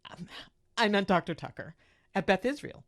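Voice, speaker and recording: A woman's voice: mid-range voice, very animated, normal speed. A woman acting in an audio drama as an ailing, pill-dependent mother, impatient and evasive, with a coaxing way of talking. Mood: polite, wheedling.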